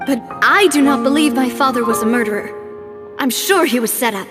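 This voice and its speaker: An actress voicing a poised, earnest young woman who leads an organization in a game cutscene, with a refined, measured, sincere manner. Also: high voice, loud music behind the voice, close to an everyday voice. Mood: wistful, grave. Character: poised young lady leading a crime-fighting organization, earnest and polite. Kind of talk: video game cutscene voice acting.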